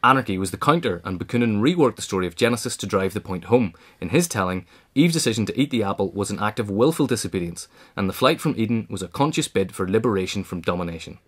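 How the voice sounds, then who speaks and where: A man's voice: fairly low pitch, lively intonation, fast, hardly any pauses, husky. A man reading scripted nonfiction narration as an audiobook reader. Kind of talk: scripted narration of a political history essay.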